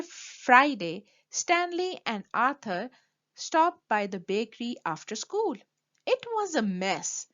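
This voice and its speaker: Female storyteller for kids' picture books: unhurried, emphatic read-aloud with big swings in pitch. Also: lo-fi sound. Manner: animated, emphatic delivery for children. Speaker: storyteller reading a children's book.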